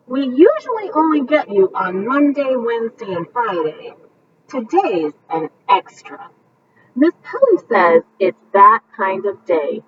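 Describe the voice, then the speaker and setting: A woman, a teacher, reading a picture book aloud and pausing to point out the pictures for young listeners. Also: mid-range voice, distant mic, lo-fi sound, background noise. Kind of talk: bedtime story read-aloud with commentary.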